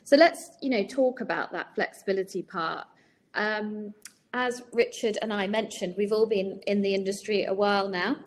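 A woman in her forties hosting a webinar over a video call, chatty and relaxed, with many ums. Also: medium pitch, normal speed. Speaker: webinar host. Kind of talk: casual webinar chat. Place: home room with shutters and plants. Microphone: video call laptop mic.

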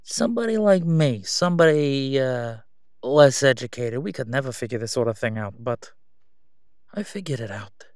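Casting: male actor as menacing mob boss for audio roleplay, oily, smug, mid-pitched, very dry close sound. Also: lively intonation, a little mellow, dry recording, natural voice. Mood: quietly threatening. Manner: oily, taunting, drawn-out delivery with stalling pauses. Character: sleazy, menacing Gotham crime boss, a man, smugly haggling. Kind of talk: solo audio roleplay acting.

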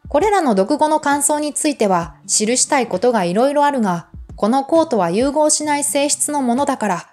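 A woman narrating a literary essay in a measured, formal reading style. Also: high-pitched, expressive, a little mellow, faint music behind. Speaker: audiobook narrator.

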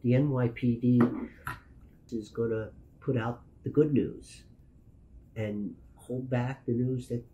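A man's voice: mid-range voice, fairly even intonation, takes it slowly, dull audio. A man in his fifties with a New York accent, giving an emphatic, plain-spoken documentary interview as a veteran news photographer. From Brooklyn, New York. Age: 50s. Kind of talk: documentary interview, personal account. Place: meeting room indoors.

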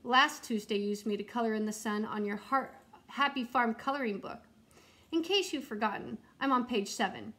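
A woman's voice: a little high, moderate pace, fairly bright tone, close to an everyday voice. Woman as an indignant, boastful storybook crayon. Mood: insistent. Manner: insistent, self-important.

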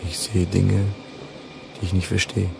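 An impatient, dismissive grandson. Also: male voice, sits low, monotone, unhurried, vocal fry, noisy background, exaggerated voice. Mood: afraid. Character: sceptical, impatient grandson living in a dark freight wagon. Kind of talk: radio drama acting.

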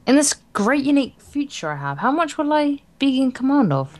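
Lively woman reading a game story aloud. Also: sits high, brisk. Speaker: let's play co-host reading visual novel text aloud. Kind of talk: story read-aloud with playful banter.

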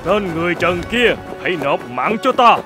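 A man voicing a stern, menacing eagle general in an animated fairy tale. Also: high voice, moderate pace, over loud music. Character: menacing eagle general serving the heavenly emperor.

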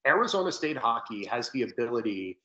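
A young man talks as an interview guest on a video call, in an average-pitched voice. He is earnest and emphatic, making his case conversationally with rhetorical questions. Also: big pitch swings, fairly quick, sharp tone, dull audio. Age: young adult. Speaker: sports radio play-by-play broadcaster, guest. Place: indoor room at home, video call. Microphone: video call, close to webcam.

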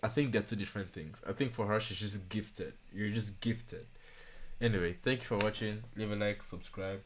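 Man, twenties, lowish voice, reaction video host, casual, musing, repeats himself, home studio mic. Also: fairly even intonation, normal speed. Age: 20s. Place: small home studio room.

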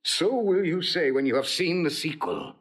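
An actor playing a cold, scheming nobleman, measured and insinuating, in classical Shakespearean style. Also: on the high side, sing-song, fairly bright tone, breathy edge, full character voice. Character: cold scheming nobleman villain, slandering brother. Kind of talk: classical Shakespearean audio drama acting.

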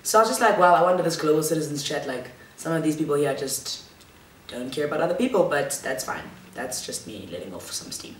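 A woman's voice: low voice, expressive, brisk. A young woman tells a chatty, slangy storytime vlog, seated in a large living room and filmed by a camera across the room. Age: young adult. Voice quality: loud voice. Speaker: vlogger. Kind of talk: casual storytime monologue. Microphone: fixed camera across the room. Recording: roomy echo from the mic's distance.

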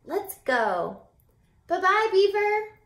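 A woman voicing a cheerful, kind little storybook boy, singsong and exclaiming, with drawn-out words. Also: very high voice, very animated, voice pushed up, full character voice. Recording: roomy echo from home camera mic.

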